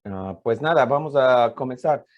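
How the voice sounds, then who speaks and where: A man's voice: mid-range voice, lively intonation, normal speed, highs cut off. A man with an Ecuadorian accent giving an informal, explanatory conference talk as a professor, hesitant and often repeating words. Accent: Ecuadorian Spanish.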